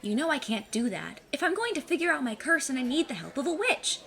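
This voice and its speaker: Woman playing a high-voiced, plucky teenage girl. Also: sing-song, quick pace, gravelly, a bit bright, heavily acted. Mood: stubborn, earnest. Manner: precise, formal wording, self-assured. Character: plucky, cocky 15-year-old girl cursed to detect lies. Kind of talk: audio drama acting.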